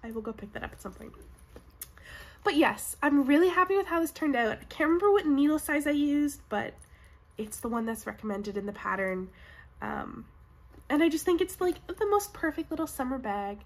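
A woman's voice: high voice, expressive, moderate pace. A young Canadian woman hosting a knitting vlog from home, chatty and upbeat, sounding nasal and sniffly from a cold. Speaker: knitting podcast host. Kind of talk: casual chat to camera. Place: home room with bookshelves, indoors. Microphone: camera in front at desk distance.

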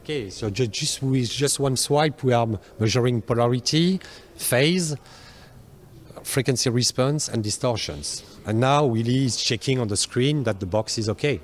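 A man gives an explanatory factory demonstration into a handheld mic, haltingly, searching for words. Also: mid-range voice, slight background noise. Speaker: factory guide. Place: large factory workshop, indoors. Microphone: handheld microphone. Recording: music behind the voice.

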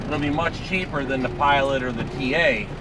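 A man's voice: medium pitch, moderate pace. A man, a truck driver musing aloud in an offhand, rambling way as he drives. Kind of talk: casual driving commentary. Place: inside a truck cab on the road. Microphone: dash camera in the cab. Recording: loud engine and road noise.

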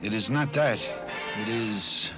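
Stern alien commander, long echo. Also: male voice, lowish voice, slow pace. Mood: grave. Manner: grave, formal. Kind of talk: 1970s TV cartoon acting. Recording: echoey reverb, faint cartoon music.

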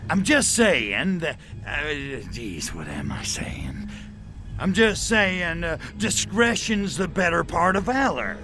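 Brash alien invader for a game cutscene: American, sardonic, highish swinging pitch, drawn-out delivery. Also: male voice, big pitch swings, over music, exaggerated voice. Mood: scheming, exasperated. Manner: sardonic, slangy American wise guy. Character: brash, wisecracking, cynical male alien invader. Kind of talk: video game cutscene acting.

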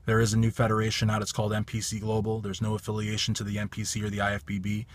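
A man in his thirties with a raspy voice, giving a blunt, emphatic bodybuilding opinion vlog from a parked car on a phone held at arm's length. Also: on the low side, completely flat delivery, racing. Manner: blunt, emphatic, repeats stock phrases. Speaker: bodybuilding commentator.

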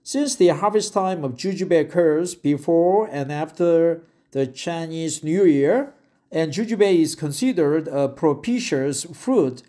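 A man slowly reads an article aloud, repeating phrases and stumbling over words. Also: fairly high pitch, a little mellow. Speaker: English learner reading aloud. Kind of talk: reading a web article aloud with asides.

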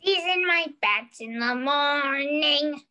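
A woman's voice: high-pitched, expressive, slow pace, sharp tone, full character voice. A woman voicing a gleefully nasty storybook witch who croons in singsong rhymes. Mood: gleeful crooning.

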